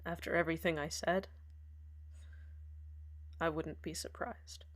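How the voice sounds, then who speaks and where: A woman voicing a heartbroken community radio host who speaks in an earnest, halting way with many pauses. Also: medium pitch, a bit bright. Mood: remorseful. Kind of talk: fan radio drama monologue.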